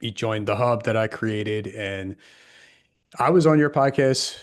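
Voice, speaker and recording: A man's voice: fairly low pitch, unhurried. Rambling male podcast host. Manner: loose and rambling, many fillers. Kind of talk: casual podcast interview.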